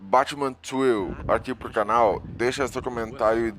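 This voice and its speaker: A man's voice: medium pitch, normal speed, a bit bright. A man with a Brazilian accent giving casual, slangy game commentary as a channel host. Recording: faintly noisy home recording.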